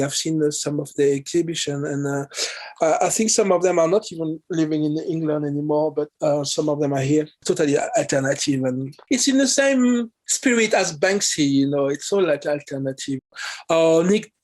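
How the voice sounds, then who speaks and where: A man from France with a French accent, chatting casually as an interview guest and often pausing to search for words. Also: a little high. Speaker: guest musician.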